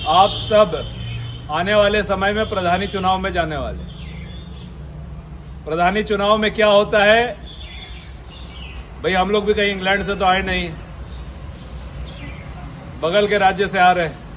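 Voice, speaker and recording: A man's voice: high voice, steady tone, measured pace, pauses often, a bit bright. A man speaking as a senior police officer, addressing a meeting in an exhortatory way with emphatic repetition. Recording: live speech with background noise.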